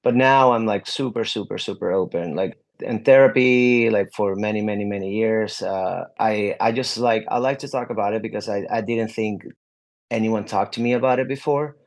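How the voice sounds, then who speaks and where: Man, young adult, low voice, rambling, lots of "like", candid interview guest. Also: normal speed. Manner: rambling, many "like" fillers and restarts. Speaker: guest musician.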